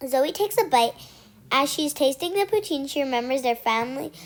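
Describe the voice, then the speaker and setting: A child reading a children's picture book aloud, haltingly, repeating and correcting words along the way. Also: high voice, lively intonation. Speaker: amateur read-aloud reader.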